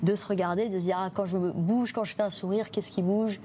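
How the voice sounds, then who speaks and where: A woman's voice: medium pitch, steady tone, a little fast, dark tone, slight background noise. A woman animator describing her craft in an interview, thinking aloud.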